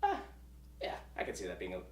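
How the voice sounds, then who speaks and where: A man's voice: sits low, huge pitch swings, fast talker, darkish tone, reverberant, far from the mic. Wry young man in his twenties. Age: 20s. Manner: wry, self-deprecating. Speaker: interviewee. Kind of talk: church video interview.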